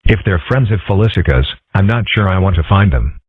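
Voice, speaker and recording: Deep, husky man as a deadpan fox boy. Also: a little fast, no echo. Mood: dry, skeptical. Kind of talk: comic strip dub. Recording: muffled phone-like audio.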